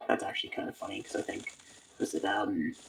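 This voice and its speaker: A man speaking as a guest in a casual interview, in a halting way that repeats words for emphasis. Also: sits low, wildly swooping pitch, creaky, fairly bright tone, low-quality recording. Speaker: interview guest, audiobook voice actor.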